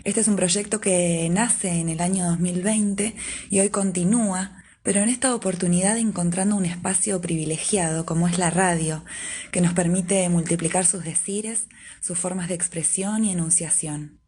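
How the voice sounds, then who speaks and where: A woman presenting her association's project in a scripted, measured presentation style. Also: on the low side, steady tone, warm tone, a little hiss or hum.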